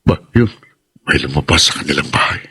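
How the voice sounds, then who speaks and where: A man's voice: very deep voice, lively intonation, fairly quick, sharp tone, breathy edge, no room sound, studio quality, exaggerated voice. An actor playing a murderous, gloating henchman of a crime boss in a radio drama. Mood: watchful, scheming.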